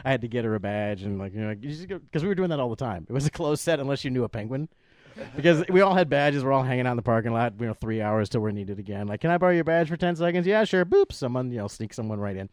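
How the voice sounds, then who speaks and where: A man telling a casual anecdote about his work as a puppeteer, animated and acting out the voices of people he quotes. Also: medium pitch, fast talker, no echo, studio quality. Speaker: guest recounting work as a puppeteer.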